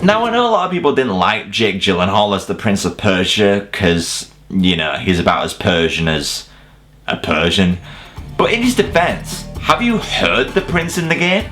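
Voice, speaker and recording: A man's voice: lowish voice, sing-song, moderate pace, fairly bright tone, faint music behind. A man hosting a scripted countdown review, with casual swearing and mock-incredulous asides. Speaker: video reviewer and host.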